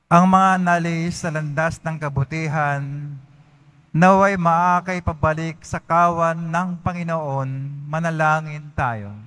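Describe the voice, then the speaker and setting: A man serving as lector, reading the prayer intentions at mass in a steady, formal way. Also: fairly high pitch, takes it slowly, clear, resonant tone.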